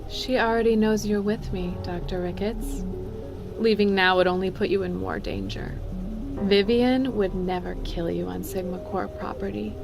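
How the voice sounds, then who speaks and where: An actress playing a cool, calculating corporate boss in an audio drama, speaking with smooth authority and condescension. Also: female voice, medium pitch, steady tone, moderate pace, over music, close to an everyday voice. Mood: assured, condescending.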